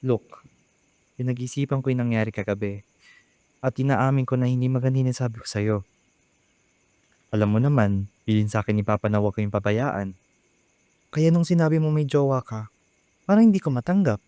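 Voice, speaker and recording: A man's voice: mid-range voice, fairly quick, pauses often, dark tone, clean tone, no room sound, natural voice. A man with a Filipino accent playing a hardworking, overprotective young eldest brother in a radio drama. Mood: apologetic, earnest.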